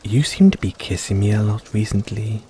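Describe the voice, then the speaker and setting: A man playing an affectionate, self-doubting middle-aged dad in an intimate audio roleplay, speaking hesitantly and tenderly with gentle teasing. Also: on the low side, moderate pace, lightly acted. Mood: anxious, hesitant. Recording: rain-like ambience noise behind.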